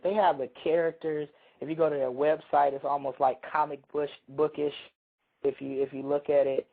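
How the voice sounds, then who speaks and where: Hoarse guy co-hosting a radio show, rambly storyteller, kinda high voice, tinny phone line sound. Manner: rambling, self-interrupting storyteller. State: hoarse from a cold. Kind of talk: casual chat, storytelling.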